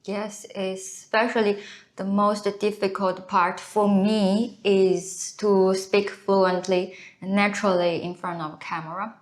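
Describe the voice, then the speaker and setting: A native Chinese speaker, this woman co-hosts a casual chat in Chinese-accented English, haltingly searching for her words. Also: mid-range voice, little pitch movement. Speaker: co-host, video creator.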